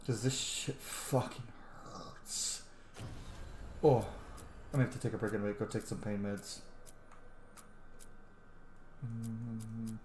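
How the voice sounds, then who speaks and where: A man's voice: on the low side, fairly even intonation, takes it slowly, pauses often, a little mellow. Roomy-sounding man doing casual game commentary. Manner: gushing, sweary, rambling. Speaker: gaming streamer playing and commenting. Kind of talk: casual let's-play commentary. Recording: roomy echo with faint background noise.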